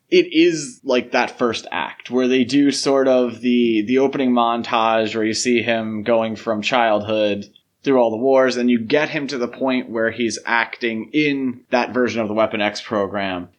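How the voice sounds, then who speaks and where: A man's voice: medium pitch, a little slow, highs cut off. A man hosting a podcast, discussing film in a casual but detailed, explanatory way. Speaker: podcast host.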